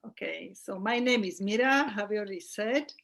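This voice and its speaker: A middle-aged woman from Slovakia speaks English with a Slovak accent, her voice sitting at an ordinary middle pitch. She talks earnestly about coaching, with small restarts as she searches for words. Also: normal speed, gravelly, fairly bright tone. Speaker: holistic well-being coach, guest. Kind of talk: casual interview chat.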